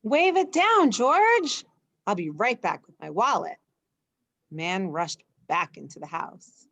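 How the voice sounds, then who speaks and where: A woman reads a bedtime story for children in a medium-pitched voice. Her intonation is very animated, especially on the dialogue, and her delivery is slow. The sound is close and dry. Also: no echo. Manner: expressive, puts emphasis into dialogue. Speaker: storyteller reading aloud.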